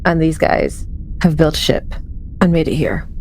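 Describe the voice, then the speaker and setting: A woman's voice: sits low, big pitch swings, moderate pace, gravelly, darkish tone, slight background noise, low-quality recording. A woman improvising in-character lines in a tabletop game, speaking firmly and matter-of-factly.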